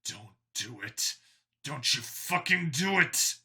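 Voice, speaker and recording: A man reads as a tough vigilante cop in a sci-fi audiobook. He is curt and resolute, with a sharp, forceful tone. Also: mid-range voice, very animated, slow pace, heavily acted. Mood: tense muttering. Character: hard, curt human vigilante cop, gruffly determined.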